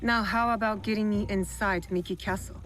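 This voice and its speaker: A woman's voice: medium pitch, fairly even intonation, normal speed, a bit bright, soft background music, lightly acted, rough recording. An actress as terse, guarded female assassin. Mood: stern. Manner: terse, cool, direct. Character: determined, guarded female shinobi assassin in feudal Japan. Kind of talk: scripted video game cutscene acting.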